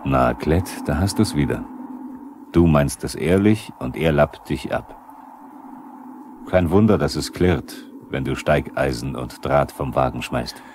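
Sober probing narrator, very deep voice, scripted radio drama, noisy 1970s recording. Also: male voice, animated, a little slow, a little mellow, exaggerated voice. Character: sober probing male narrator who challenges the workers. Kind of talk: scripted radio play narration, questioning the characters.

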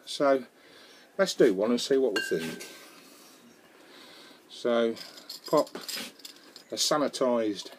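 A man's voice: mid-range voice, expressive, slow, long pauses, noisy background. An older man in his sixties with a Northern English accent, chatting casually in a jokey, rambling way with fillers and mild swearing, filmed on an action camera in his wooden garden shed. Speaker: home brewing vlogger. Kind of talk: casual chatty product review. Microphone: action camera close up.

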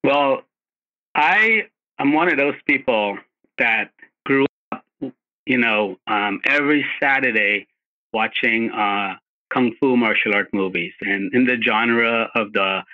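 A man from Guyana, a martial arts instructor being interviewed, who answers politely and graciously with some pauses. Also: lowish voice, lo-fi sound.

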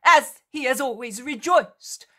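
Slow, high-pitched, commanding holy prophet voiced by a woman. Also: lively intonation, a bit bright, exaggerated voice. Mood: righteous, thundering. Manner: declamatory, emphatic, oratorical. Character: commanding male holy prophet, sorrowful yet righteously stern.

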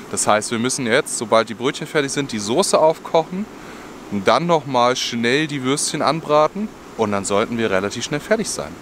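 A man's voice: mid-range voice, fairly bright tone. A young man chats casually and easygoingly to viewers while cooking outdoors, thinking aloud in colloquial speech. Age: young adult. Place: outdoors in a forest. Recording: clearly noisy outdoor background.

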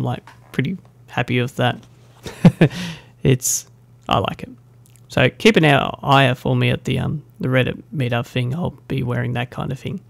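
Young aussie bloke rambling to camera about a meetup, bit of gravel in the voice, dry close sound. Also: male voice, mid-range voice, no echo. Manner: chatty and self-deprecating, with fillers. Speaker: meetup organiser. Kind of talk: casual vlog announcement.

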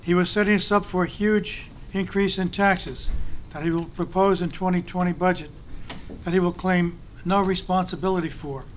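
High-voiced man reading a pointed statement. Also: fairly even intonation, a little hiss or hum. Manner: measured, pointed criticism, formal. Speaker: council president. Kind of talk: reading a prepared statement at a council meeting. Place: council chamber, large room.

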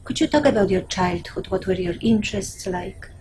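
A woman asking brief, measured interview questions for an oral history recording. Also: mid-range voice, lively intonation, a little mellow, a little hiss or hum.

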